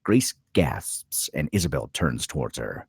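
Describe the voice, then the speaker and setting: A male narrator reads scripted stage directions dramatically. His voice is deep and rough-edged, with lively rises and falls. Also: a little slow, no echo, studio quality.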